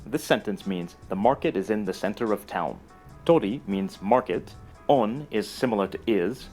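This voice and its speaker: Male narrator, scripted educational read, even and explanatory, soft music bed. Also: fairly low pitch, normal speed. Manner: steady, explanatory delivery. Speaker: narrator of a language explainer video. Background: faint music.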